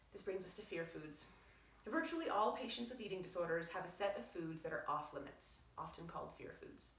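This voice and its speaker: A woman reading aloud as a doctor explaining things in a measured, articulate, explanatory way. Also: medium pitch, vocal fry. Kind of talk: read-aloud narration with brief asides. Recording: roomy echo, distant from mic.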